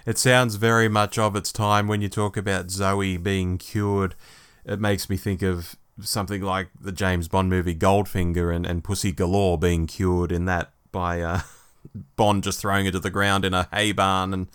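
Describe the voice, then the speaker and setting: Aussie podcast guy, lowish voice, really dry recording, just chatting away. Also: little pitch movement, moderate pace, fairly bright tone, smooth, no room sound. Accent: Australian. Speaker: podcast co-host. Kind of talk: casual chat, discussion.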